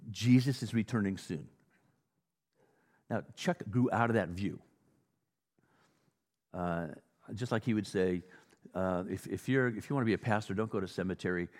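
Male American pastor, lowish voice, informal storytelling sermon style, recorded in a big reverberant space. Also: normal speed, pauses often, a little mellow. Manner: informal, acts out dialogue, exhorting. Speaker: pastor preaching. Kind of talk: conversational sermon with anecdotes. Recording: reverberant hall.

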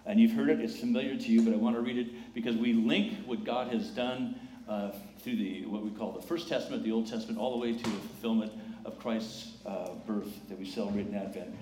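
A man speaking as a pastor, welcoming and encouraging a congregation in measured phrases during a live church service. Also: on the low side, steady tone, mellow. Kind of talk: church welcome and scripture reading. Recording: echoey church sanctuary, clear background noise.

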